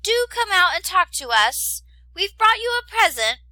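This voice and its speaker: Eager young princess, high and bossy, lively, woman reader. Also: a little slow, dry recording, full character voice.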